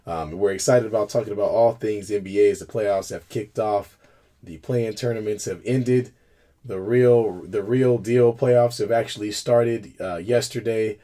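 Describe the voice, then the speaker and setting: A man talks through a casual sports chat, his voice raspy after a cold. He rambles loosely, laughs between lines and restarts his sentences. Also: lowish voice, fairly even intonation, moderate pace, mellow. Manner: chatty, laughs often, trips over words. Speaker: co-host. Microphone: video call.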